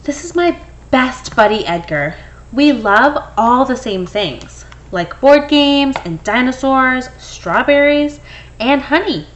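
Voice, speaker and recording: A woman reading a children's picture book aloud in an expressive, storytelling way. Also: high-pitched, slow, muffled recording, a little hiss or hum. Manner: expressive, acts out dialogue. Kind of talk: animated children's read-aloud.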